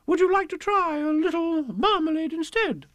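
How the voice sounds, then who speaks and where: Man putting on a high, genteel storybook queen. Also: expressive, normal speed, fairly bright tone, no room sound. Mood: polite, coaxing. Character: polite storybook queen, gently coaxing woman. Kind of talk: rhyming storybook character voice.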